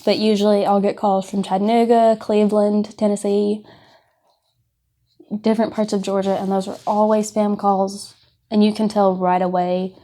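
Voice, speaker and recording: A woman speaks with a soft-edged, mellow voice at an average pitch. She presents like an informal class instructor, explaining things conversationally and offering words of caution. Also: level tone, moderate pace. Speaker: presenter of a scam awareness class.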